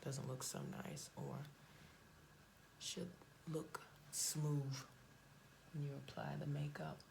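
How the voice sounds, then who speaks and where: A woman chatting casually through a makeup tutorial at home, blunt and chatty, her voice hoarse and cracking. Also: deep voice, little pitch movement, slow pace, a little mellow, slight background noise. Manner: chatty, blunt, frequent fillers and restarts. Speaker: beauty vlogger.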